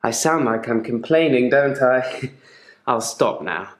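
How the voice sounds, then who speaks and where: An actor playing a controlled, quietly menacing therapy patient in a game drama, speaking in a measured, deliberate and politely probing way. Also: male voice, medium pitch, animated, reverberant. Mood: composed, wry. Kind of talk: live-action game drama monologue.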